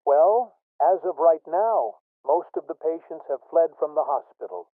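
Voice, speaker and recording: A man playing a police officer giving a deliberate, matter-of-fact report over a radio link. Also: on the high side, lively intonation, no room sound, phone-quality sound. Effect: radio filter. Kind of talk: scripted on-scene report.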